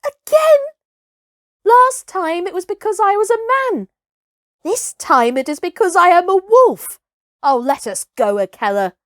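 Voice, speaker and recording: A woman's voice: very high voice, sing-song, unhurried, fairly bright tone, no room sound, clean studio sound, voice pushed up, full character voice. A woman voicing a bold, scornful young herdsboy raised by wolves, with archaic, commanding speech in a storybook reading. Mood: hurt, defiant.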